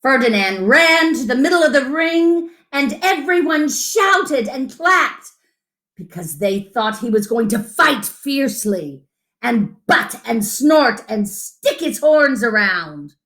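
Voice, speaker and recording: A woman's voice: high-pitched, big pitch swings, slow, a bit bright. A woman reading a picture book aloud to children as a storyteller, with dramatic emphasis and asides to her listeners.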